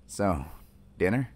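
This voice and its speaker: Lively young college jock, acted by a man. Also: on the low side, slightly rough, heavily acted. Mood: subdued, candid. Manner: informal slangy bro talk with hesitations. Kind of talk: roleplay audio acting, casual chat to the listener.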